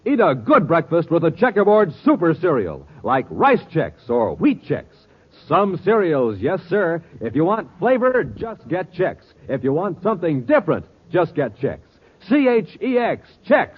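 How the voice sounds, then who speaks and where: A man's voice: on the high side, huge pitch swings, measured pace, breathy edge. A man announcing in emphatic, dramatic 1950s radio style, with punchy salesmanship, on a muffled old recording. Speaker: announcer, narrator and ad pitchman.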